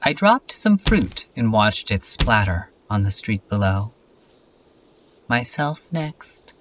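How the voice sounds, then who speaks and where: A man playing a morbid, depressed loner who declaims a bleak, deliberate journal monologue in a fan dub. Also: fairly low pitch, big pitch swings, recorded from a distance, lo-fi sound, background noise. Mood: bleak, wistful. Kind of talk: dramatic reading in a horror comedy fan dub. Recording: music under the voice.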